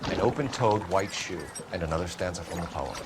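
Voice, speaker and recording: A man playing an earnest, self-assured 1940s American detective in a video game, speaking crisply with dry sarcasm. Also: low-pitched, brisk, very noisy, close to an everyday voice. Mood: thoughtful. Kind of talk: cinematic video game acting. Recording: loud music behind the dialogue.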